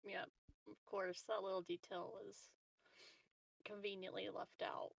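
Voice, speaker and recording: A woman's voice: medium pitch, level tone, unhurried, vocal fry, no room sound, far from the mic, poor audio. A woman playing a tabletop game, chatting casually in a wry, loose, slangy way.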